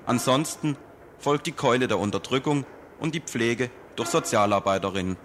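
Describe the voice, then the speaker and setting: A man reading a political essay aloud in a slow, deliberate, polemical manner. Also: mid-range voice, lively intonation, a bit bright, slight background noise.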